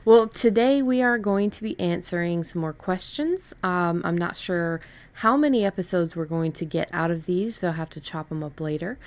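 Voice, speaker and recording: Low-pitched woman hosting a casual podcast. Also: moderate pace, hardly any pauses. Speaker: podcast host. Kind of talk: casual conversational podcast chat.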